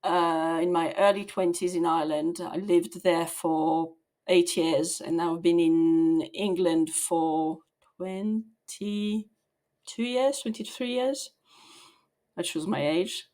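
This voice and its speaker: A woman in her forties from the south of France, speaking as a podcast guest, thoughtful and quick to laugh. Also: fairly low pitch, fairly even intonation, darkish tone. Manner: thoughtful, laughs easily, restarts sentences. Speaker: guest, people and operations leader. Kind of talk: podcast interview.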